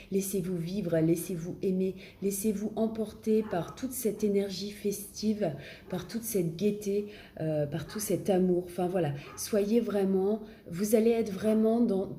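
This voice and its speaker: A woman's voice: lowish voice, mellow. A woman with a French accent and a cold, giving a casual tarot reading, often hesitating and searching for words. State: has a cold. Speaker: tarot card reader. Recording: echoey room, faint background noise.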